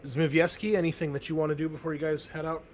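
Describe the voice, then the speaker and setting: A man narrating as game master, relaxed and full of ums and uhs. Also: on the high side, quick pace, a little hiss or hum. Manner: relaxed narration with many fillers.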